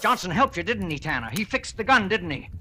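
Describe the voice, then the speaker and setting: A man's voice: sits high, very animated, a little fast, sharp tone, heavily acted. An actor playing a frantic, accusing man cracking up, badgering and repeating himself in 1940s radio drama style, on a hissy old recording. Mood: accusing, agitated.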